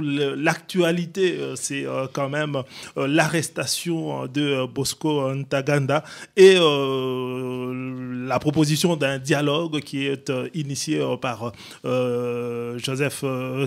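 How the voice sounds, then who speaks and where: A man's voice: mid-range voice, creaky. A man hosting a radio interview in a studio, asking long questions full of hesitations and fillers. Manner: hesitant, many filler sounds. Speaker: radio host.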